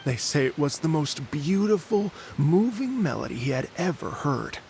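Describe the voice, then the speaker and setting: An actor playing a polite, mild-mannered wandering musician in an anime game, earnest and a little hesitant, creaky at times. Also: male voice, fairly high pitch, very animated, moderate pace, darkish tone, a little hiss or hum. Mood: storytelling. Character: genteel, mild-mannered wandering musician, adult man, wistful storyteller.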